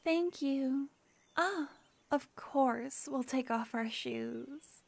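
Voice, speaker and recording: A woman voicing a gentle young necromancer girlfriend in an ASMR fantasy roleplay, speaking softly and often whispering. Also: sits high, very slow, vocal fry, no room sound, full character voice. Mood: tender. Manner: soft and intimate, often whispering.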